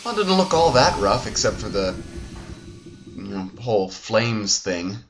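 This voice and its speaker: A man's voice: fairly low pitch, sing-song, unhurried, background noise. A man giving casual, playful gameplay commentary as a let's play host. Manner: chatty, playful.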